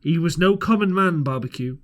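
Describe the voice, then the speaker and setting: A man's voice: fairly high pitch, lively intonation, a little fast, lightly acted. A man voicing a wily, experienced old coxswain in a storybook, with plain-spoken sailor's talk. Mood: admiring. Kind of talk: storybook character reading.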